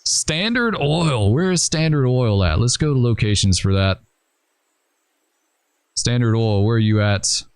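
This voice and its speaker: A man hosting a gaming channel, thinking aloud and rambling with hesitations as he plans moves in a train simulator game, his voice going hoarse. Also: mid-range voice, expressive, measured pace, pauses often, no room sound. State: losing his voice. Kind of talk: casual gameplay commentary.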